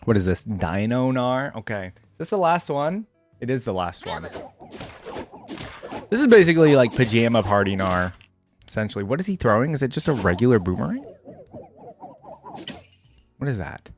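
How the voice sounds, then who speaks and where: A man's voice: mid-range voice, sing-song, normal speed, long pauses, soft background music. A man giving casual reaction commentary as a gamer, thinking aloud in an informal way. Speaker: gamer reacting to game character skins.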